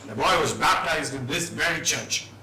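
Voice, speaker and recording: A man acting a forceful, argumentative churchgoer in a dramatised stage reading. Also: fairly high pitch, animated, normal speed. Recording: distant mic in echoey noisy hall.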